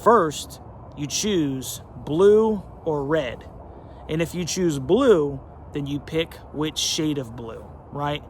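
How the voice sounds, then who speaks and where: Casual male host from North Carolina, emphatic storyteller, a touch higher than average; light street noise in the recording. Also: animated, takes it slowly. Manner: conversational, many fillers, emphatic. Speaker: sports channel host. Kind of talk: casual monologue.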